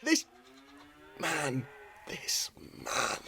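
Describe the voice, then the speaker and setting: A man playing an outraged, earnest farmer making a deliberate accusation in a period courtroom drama. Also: fairly high pitch, wildly swooping pitch, gravelly, pushed and bright, husky, heavily acted. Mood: indignant, accusing.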